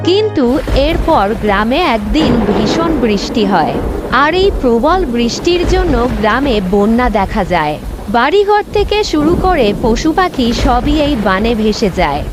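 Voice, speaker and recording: Lively, high-voiced woman storyteller over music. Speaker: narrator. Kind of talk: scripted storybook narration for a cartoon fairy tale.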